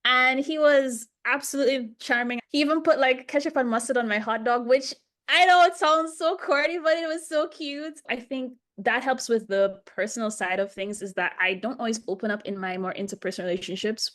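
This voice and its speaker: A woman's voice: a little high, fairly quick, a bit bright. A woman speaking casually and personally, as a group member giving a testimonial.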